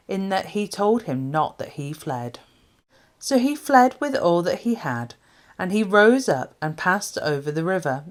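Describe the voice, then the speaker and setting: Female Bible reader, low register, formal and measured delivery, phrase by phrase. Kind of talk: scripted scripture reading.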